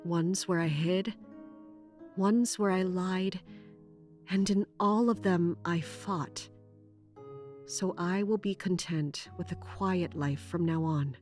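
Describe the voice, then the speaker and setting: Weary, contemplative narrator figure, slow and drowsy, soft guitar music underneath. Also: male voice, high voice, fairly even intonation. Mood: calm, reflective. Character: weary reflective soul seeking a quiet life. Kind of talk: first-person monologue in audio drama.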